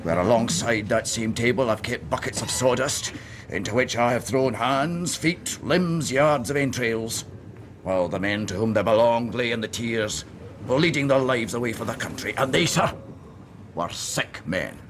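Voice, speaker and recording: Actor as blustering, scornful ship's doctor. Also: male voice, medium pitch, normal speed, fairly bright tone, a little hiss or hum, close to an everyday voice. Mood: scornful, grim. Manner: blustering, declamatory, emphatic repetition. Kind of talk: period radio drama acting.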